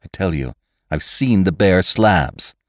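A man playing a frightened, stubborn, highly strung husband in a story, agitated and insistent. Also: animated, slow, no echo, clean studio sound.

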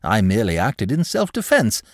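Here is a man acting out an unflappable young gentleman clerk from a storybook. He is mock-courteous and ingratiating, his flowery sentences swooping widely in pitch, and he sounds close and dry. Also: huge pitch swings, moderate pace, no room sound, heavily acted. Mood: pained innocence. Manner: flowery, ingratiating, over-polite speech. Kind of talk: audiobook character dialogue.

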